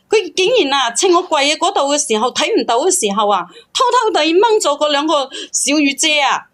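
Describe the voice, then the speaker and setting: Woman, high pitch, phone-in caller, confessional, stammering, self-justifying. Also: a bit bright. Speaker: caller confiding in host.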